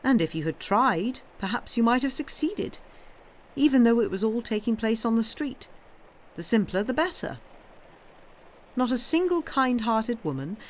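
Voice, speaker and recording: A woman voicing a young woman in an audiobook, frank and guarded, gently teasing, with a hesitant delivery. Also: mid-range voice, slight background noise, close to an everyday voice. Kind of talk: dramatised audiobook reading.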